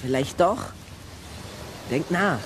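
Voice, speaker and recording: Clear-thinking, supportive young detective, lighter high-ish voice, kids' audio drama. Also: male voice, animated, slow, a little hiss or hum. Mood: encouraging, urgent. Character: level-headed, encouraging boy detective. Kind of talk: children's radio play dialogue.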